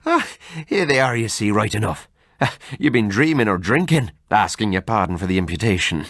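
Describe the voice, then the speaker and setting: A man's voice: lively intonation, fairly quick, a bit bright, dry recording, clean studio sound. A man voicing a big, jovial Irish doctor who laughs off his friend's fears, speaking in an Irish accent. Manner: jovial, teasing, booming laughter. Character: hearty Irish male doctor, big and sensible.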